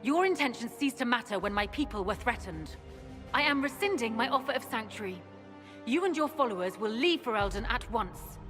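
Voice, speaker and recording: A woman's voice: fairly high pitch, animated, a little fast, over music, lightly acted. An actress playing a stern, commanding queen in a video game cutscene, regal, firm and imperious. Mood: cold displeasure. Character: stern, commanding queen defending her realm.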